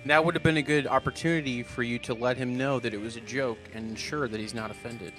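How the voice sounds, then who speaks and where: A man co-hosting a comedy show, giving deadpan, mock-serious commentary in a preachy, lecturing way. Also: medium pitch, fast, music in the background. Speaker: co-host.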